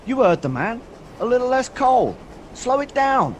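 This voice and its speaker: A man's voice: very high voice, sing-song, a little slow, heavily acted. A teenage boy playing a wary, informal steam train crewman in a school radio play. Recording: faint train noise behind.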